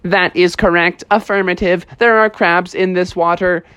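A man's voice: sits high, steady tone, moderate pace, fairly bright tone, smooth, no echo, muffled recording, voice pushed up. Man doing a stiff, beeping robot bear. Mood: matter-of-fact. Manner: stiff, formal robotic phrasing. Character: literal-minded robot bear reporting detections.

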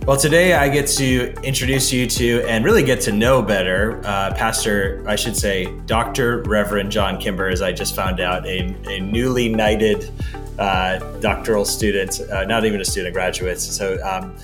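A man's voice: lowish voice, fairly even intonation, moderate pace, over music. A man hosting a podcast, friendly and upbeat, introducing and questioning his guest with a few uhs and ums. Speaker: podcast host.

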